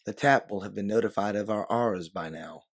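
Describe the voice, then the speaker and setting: A man's voice: on the low side, fairly quick, vocal fry. A man voicing an exasperated, commanding woman time traveller in a story. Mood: urgent.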